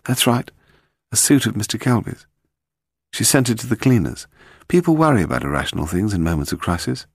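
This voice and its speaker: A British man voicing a brisk, composed English woman secretary in a clipped, matter-of-fact way for an audiobook. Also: lowish voice, expressive, gravelly, slightly rough, dry recording, clean studio sound, voice pushed up, full character voice.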